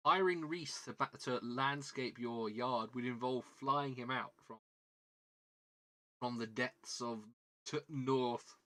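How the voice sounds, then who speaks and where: A man with a southern British accent and a bright, forward voice at an ordinary male pitch. He talks as a livestream host, casually rambling to his viewers. His manner is self-deprecating, and he often repeats himself mid-thought. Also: slow. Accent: southern British English. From southern England. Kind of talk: casual livestream chat while building a model kit.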